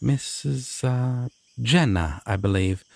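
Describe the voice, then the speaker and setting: A man playing a courteous, formal deity of dreams in an audio roleplay, speaking to the listener with measured, theatrical pauses. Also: mid-range voice, gravelly, no echo. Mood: composed, grand. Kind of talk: immersive roleplay addressed to the listener.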